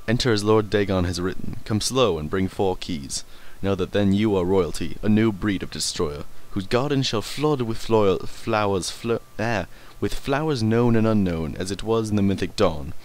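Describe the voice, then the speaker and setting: A man's voice: on the low side, normal speed, few pauses, a little mellow. A man doing casual let's play commentary, rambling, swearing casually and stumbling over hard words as he reads. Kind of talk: casual gameplay commentary and reading in-game text aloud.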